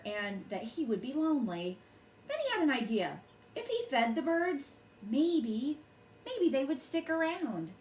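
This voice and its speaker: Woman, bedtime storyteller, expressive swooping intonation, slow deliberate phrasing, echoey room with faint noise. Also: on the high side. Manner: deliberate, dramatic phrasing for children. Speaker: children's storyteller, host. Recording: roomy echo, faint background noise.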